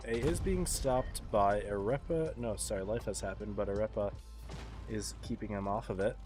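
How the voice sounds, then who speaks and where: A man casting an esports match on a live stream, congested and tired, calling the play in a relaxed, subdued way. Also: lowish voice, moderate pace, soft background music. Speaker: esports caster.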